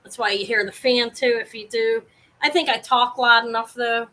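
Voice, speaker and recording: Brief: woman, live-sale host, loud carrying voice, rambly and self-interrupting, home setup. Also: fairly high pitch, fairly even intonation, normal speed. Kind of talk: casual live sale chat. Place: home apartment, fan running.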